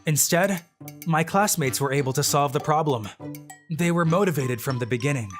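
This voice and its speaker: A voice actor doing a low-key brilliant schoolboy. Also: normal speed, close to an everyday voice. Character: young male student, secretly brilliant, low-key. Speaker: narrator and lead of a manga dub. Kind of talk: first-person narration of a dubbed manga. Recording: background music under the voice.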